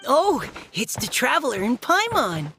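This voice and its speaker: A woman's voice: high voice, huge pitch swings, a little slow, bright, forceful tone, faint music behind, exaggerated voice. A woman voicing a shy, modest young boy knight in a video game, hesitant and easily flustered. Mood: startled, shy. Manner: hesitant, stammering.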